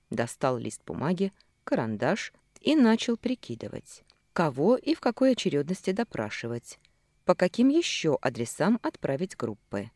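A woman reading a first-person novel aloud as an audiobook narrator, in a measured, expressive storytelling way with dramatic pauses. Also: low-pitched, no room sound, clean studio sound. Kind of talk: first-person fiction narration from a male hero's view.

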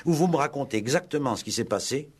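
An actor playing a calm, probing police inspector in a radio play, measured and deliberate as he questions. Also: mid-range voice, breathy edge. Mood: stern, pressing. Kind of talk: 1960s French radio play acting.

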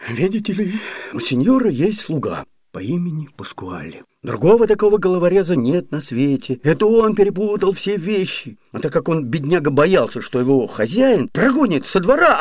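A scheming, excitable comic servant in a radio comedy. His voice sits high and leaps around in pitch as he wheedles and pleads. The studio recording carries a long reverberant tail. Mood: pleading, sly. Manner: wheedling, chatty, theatrically pleading. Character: wily, fawning, excitable male servant, a comic trickster. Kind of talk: classic comedy radio play acting.

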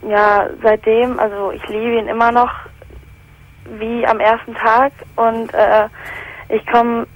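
A teenage girl from England phones a radio show with a personal account. Her voice sits a little high. She speaks hesitantly, pausing to search for her words. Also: level tone, poor audio. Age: teens. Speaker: caller.

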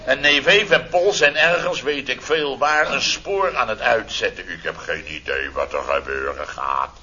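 A blustering middle-aged boss in an old radio comedy, heard through a dull, noisy recording. His sharp, forceful voice spins sarcastic, exclamatory stories of his own mishaps. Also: medium pitch, animated, normal speed. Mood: exasperated, ironic. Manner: theatrical, exclamatory, sarcastic storytelling. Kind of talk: radio comedy serial acting.

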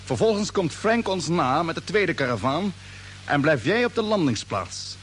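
Commanding space captain, lively higher voice. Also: male voice, normal speed. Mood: commanding, brisk. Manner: authoritative, clipped orders. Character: brisk, confident male space fleet captain. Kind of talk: radio drama acting. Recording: old radio play, humming background noise.

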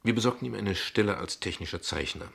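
An actor playing a guarded, ironic father in a radio play, speaking in measured, eloquent, formal sentences. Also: fairly low pitch, creaky, fairly bright tone, husky, heavily acted. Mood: explaining, measured. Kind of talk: radio play dialogue acting.

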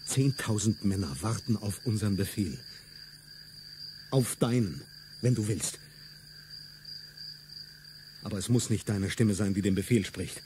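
A man's voice: on the low side, unhurried, pauses often, background noise, lightly acted. A man playing a fierce, declamatory revolutionary. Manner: solemn, declamatory delivery. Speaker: radio drama actor. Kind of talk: stage-like radio play acting.

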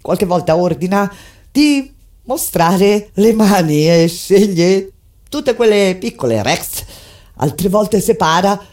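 A woman delivering a dramatic stage monologue of historical testimony, grave and emphatic, pausing between short phrases. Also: medium pitch, expressive. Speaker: stage actress narrating. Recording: loud music under the voice. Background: prominent instrumental music.

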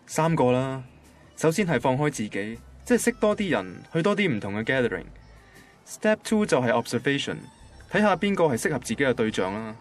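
A man's voice: medium pitch, very animated, brisk, soft background music, lightly acted. An actor playing an ordinary, literal-minded young male banker with a Hong Kong Cantonese accent, in a radio drama. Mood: matter-of-fact. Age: young adult. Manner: matter-of-fact, pedantic.

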